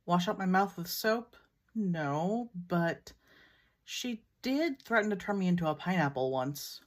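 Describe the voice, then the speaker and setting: A Filipino American woman with a fairly low voice, retelling an old folktale to a friend. She keeps it relaxed and matter-of-fact. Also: a little slow. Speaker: storyteller. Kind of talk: casual storytelling.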